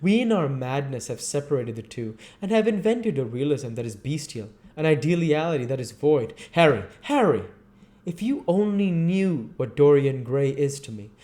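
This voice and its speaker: Man acting out fervent, lovestruck painter, raised pitch. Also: lively intonation, moderate pace, warm tone, natural voice. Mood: rapturous. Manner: fervent, dramatic, confessional. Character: ardent, anguished male painter, earnest and infatuated. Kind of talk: storybook dramatic reading.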